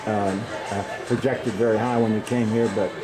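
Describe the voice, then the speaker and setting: A man's voice: fairly low pitch, steady tone, normal speed. A man interviewing a basketball player, asking questions with encouraging, somewhat hesitant phrasing. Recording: loud music underneath.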